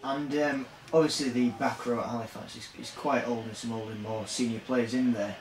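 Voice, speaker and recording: A man's voice: medium pitch, steady tone, fairly quick, a crowd in the background. Distant echoey male interviewer. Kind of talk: scripted interview questions. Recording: echoey room, far from mic, noisy.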